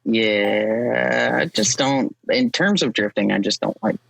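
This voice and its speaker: A man's voice: mid-range voice, lively intonation, takes it slowly, vocal fry, a bit bright, breathy edge, dry recording, rough recording. A man speaking as a podcast guest in casual chat, hesitant, with wry, understated humor. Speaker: podcast guest, drift driver.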